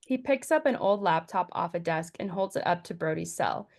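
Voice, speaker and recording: A woman's voice: on the low side, animated, moderate pace, a little mellow. A woman narrating stage directions from a script at a table read.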